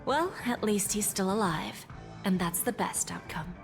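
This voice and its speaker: A woman's voice: mid-range voice, unhurried, music in the background, natural voice. An actress playing a poised, calculating senior businesswoman in a video game, composed and coolly assured. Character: poised, calculating senior female corporate negotiator.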